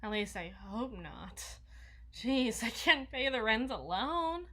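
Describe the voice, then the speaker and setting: A woman's voice: fairly high pitch, very animated, slow pace, sharp tone, full character voice. A woman playing a flustered, needy, socially awkward young vampire in an intimate ASMR roleplay, stammering and slipping into whispers. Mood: musing, coaxing. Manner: stammering, breathy asides, dropping into whispers.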